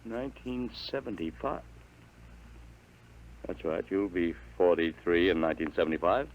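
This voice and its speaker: A man playing a bewildered, doubting father in a 1950s radio drama, speaking haltingly and in disbelief. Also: deep voice, slight background noise, poor audio. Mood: skeptical. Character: bewildered middle-aged father.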